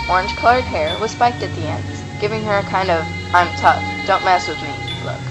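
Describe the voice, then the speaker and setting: A woman's voice: medium pitch, rough recording. A woman reading scripted narration for a fantasy radio play. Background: music.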